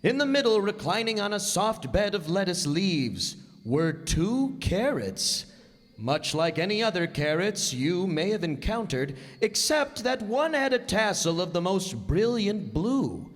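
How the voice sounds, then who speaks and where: A man's voice: sits high, big pitch swings, unhurried, exaggerated voice. A man playing the narrator of a whimsical tale in a radio play, reading scripted narration in an echoey hall. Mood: measured, whimsical. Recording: live stage performance in echoey hall.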